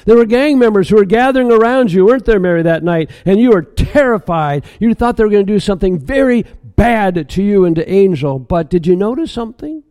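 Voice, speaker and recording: A man's voice: high-pitched, expressive, brisk, hardly any pauses, darkish tone, dry recording, voice pushed up. A man playing a compassionate male stranger who questions earnestly and with emphasis. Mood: probing.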